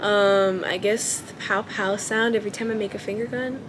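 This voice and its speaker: A woman's voice: medium pitch, moderate pace, background noise. A young woman with a childlike voice, chatting casually to camera at home and thinking aloud with lots of ums. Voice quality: childlike, baby-ish voice. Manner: relaxed, hesitant, many ums while thinking aloud. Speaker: vlogger.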